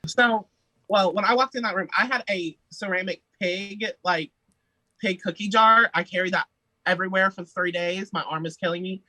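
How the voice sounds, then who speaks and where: Playful husky-voiced young man. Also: high voice, moderate pace, bright, forceful tone, no echo, rough recording. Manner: playful, chatty, laughs often. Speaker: podcast guest, singer. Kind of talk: casual interview chat.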